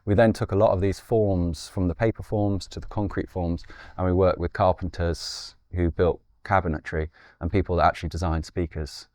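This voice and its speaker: A man's voice: sits low, steady tone, moderate pace, a little mellow, dry recording, studio quality. A man, talking casually and loosely about designing and building speakers, playful and with occasional swearing. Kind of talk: casual interview talk.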